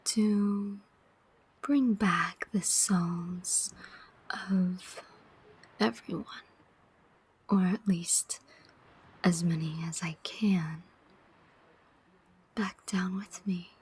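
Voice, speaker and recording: A woman voicing a world-weary, sardonic demon who collects souls, in a breathy whispered ASMR roleplay with a deliberate, teasing delivery and many pauses. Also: on the low side, fairly even intonation, darkish tone, heavily acted. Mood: teasing, wry. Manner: slow, deliberate, teasing, pausing often.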